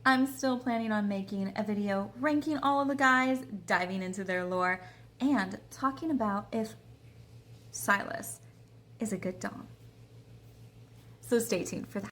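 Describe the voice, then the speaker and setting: A woman doing casual game commentary and reading lines aloud, expressive, blunt and sassy. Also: mid-range voice, measured pace, long pauses. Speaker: video creator reacting to a game.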